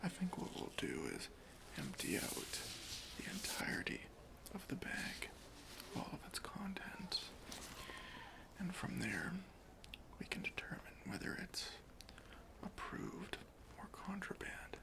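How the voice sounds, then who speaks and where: Slow, whispering, stern airport security officer, acted out. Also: medium pitch, wildly swooping pitch, full character voice. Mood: methodical, suspicious. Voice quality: breathy whisper with creaky, gravelly edges. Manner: slow, deliberate, officious questioning. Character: stern, suspicious airport chief security officer interrogating a traveller. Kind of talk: whispered ASMR roleplay.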